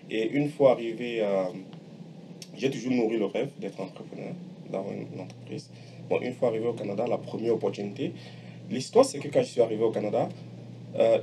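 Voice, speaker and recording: A middle-aged man giving a personal testimonial as an entrepreneur, speaking in a halting, deliberate way and repeating phrases for emphasis. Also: on the low side. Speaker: entrepreneur, electronics technician by training. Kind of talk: personal testimonial, explaining his career. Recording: clearly noisy background ambience.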